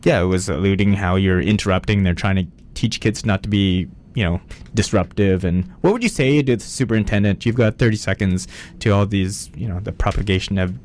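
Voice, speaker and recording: A man's voice: lowish voice, fairly quick, darkish tone, slight background noise. A man hosting a community radio show, asking questions and joking with his guest. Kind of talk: casual radio interview. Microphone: radio studio microphone.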